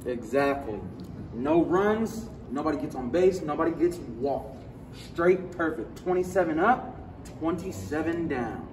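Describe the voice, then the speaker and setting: Enthusiastic tour guide, echoey hall. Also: male voice, fairly high pitch, lively intonation, unhurried, recorded from a distance. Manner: enthusiastic, addresses the group directly. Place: large indoor stadium concourse. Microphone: phone camera held by a visitor, a few steps away. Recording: echoey hall, voice from off the mic, noisy.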